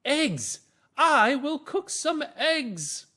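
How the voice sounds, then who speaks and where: Guy doing a bratty storybook queen, high voice, slow chanty delivery, really drawn out. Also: very animated, bright, forceful tone, full character voice. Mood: eager. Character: petulant, willful storybook queen bored of royal life. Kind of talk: children's reader's theater.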